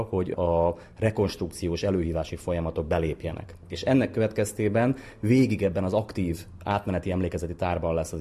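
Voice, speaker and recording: A man's voice: sits low, warm tone. A man speaking as an academic expert in an interview, explaining research in an articulate, scholarly way. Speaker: psychologist and brain researcher, interview guest.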